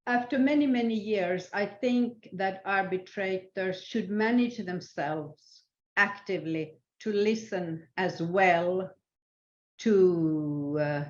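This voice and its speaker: An older woman whose native language is Swedish, answering interview questions as a senior guest in a measured, halting, thoughtful way. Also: mid-range voice, darkish tone. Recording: roomy echo, dull lo-fi sound.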